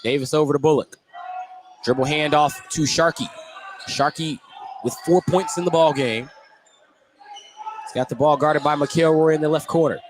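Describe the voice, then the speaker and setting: Higher-pitched man calling basketball. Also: normal speed, long pauses, noisy background. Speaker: play-by-play sports announcer. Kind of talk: live basketball radio commentary.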